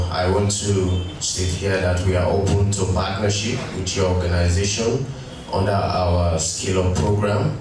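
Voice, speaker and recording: A man speaking for an organization at a conference, delivering a deliberate, halting formal speech with frequent fillers. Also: low voice. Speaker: NGO representative giving a speech. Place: conference hall. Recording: echoey hall from far off, noisy.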